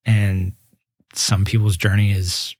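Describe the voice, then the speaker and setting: Earnest man in his twenties, low dry voice. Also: level tone, takes it slowly, husky, no room sound. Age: 20s. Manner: earnest, reflective, with many restarts. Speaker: guest musician. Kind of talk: casual podcast interview.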